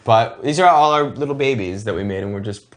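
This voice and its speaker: Lower-pitched man chatting casually. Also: a little fast. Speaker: show co-host. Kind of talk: casual group commentary on the show's own intros.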